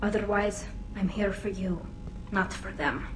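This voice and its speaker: A woman plays an earnest young quarian engineer in a sci-fi game. She speaks in a mid-range voice, blunt yet loyal, against steady background noise. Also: mid-range voice, a little slow, echoey room, lightly acted. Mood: warm. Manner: earnest, blunt, a little guarded. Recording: game audio with ambient noise.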